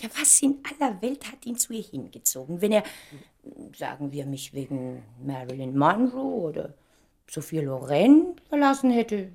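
A woman's voice: fairly low pitch, very animated, normal speed, vocal fry, a little mellow, slightly rough, full character voice. A woman playing a vain, wounded young stage star in a German radio play, speaking in a dramatic, self-important and indignant way.

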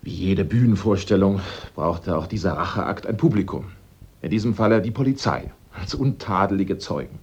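A man acting a sharp-witted amateur sleuth in a German radio drama, theatrical and assertive as he sets out his case step by step. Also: low voice, expressive, moderate pace, lo-fi sound. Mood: triumphant, conclusive.

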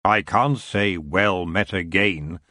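Brief: deep, slow, silky old elf king with antlers, lordly and lazy, voiced by a male narrator, dry close sound. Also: bright, forceful tone, dry recording, exaggerated voice. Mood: languid. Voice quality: smooth, unhurried. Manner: lazy, drawn-out, coolly polite. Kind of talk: audiobook character voice.

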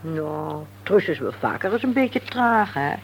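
An actress playing a courteous, inquisitive married hostess in a Dutch radio drama. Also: female voice, low voice, sing-song, unhurried, vocal fry, slightly rough, full character voice.

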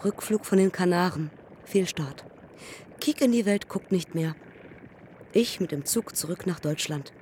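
An actress in a radio play playing a young pioneer woman aviator who recalls her crashes in terse, measured fragments. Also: lowish voice, dark tone, a little hiss or hum, natural voice. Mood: terse, haunted. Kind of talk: literary radio drama acting.

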